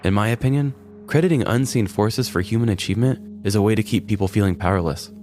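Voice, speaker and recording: A man's voice: on the low side, fast talker, darkish tone, a little hiss or hum. A man narrating a scripted comedic story as a podcast host, in a wry, mock-serious manner. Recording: music bed behind the voice. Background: background music.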